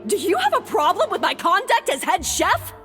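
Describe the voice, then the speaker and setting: Very high-pitched haughty head chef. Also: female voice, wildly swooping pitch, moderate pace, fairly bright tone, soft background music, full character voice. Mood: offended, challenging. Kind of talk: video game voice acting.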